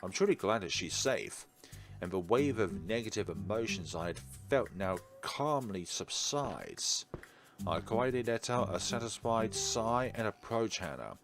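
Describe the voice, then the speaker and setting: A man's voice: lowish voice, sing-song, measured pace, over music. A man hosting a visual novel playthrough, reading the text aloud and mixing in casual, offhand remarks. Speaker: host of a game playthrough.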